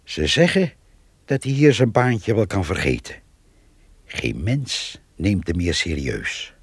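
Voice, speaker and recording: An actor playing a gossipy, wry village storyteller, narrating a radio play in short conversational sentences with a husky, gravelly voice. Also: male voice, deep voice, big pitch swings, slow pace, no room sound, exaggerated voice.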